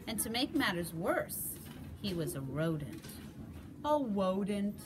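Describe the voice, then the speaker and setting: A woman narrates a children's picture book slowly, with very lively pitch. She leans on key words and pauses for drama, and there is clear background noise. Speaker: storybook reader. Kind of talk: expressive children's read-aloud narration.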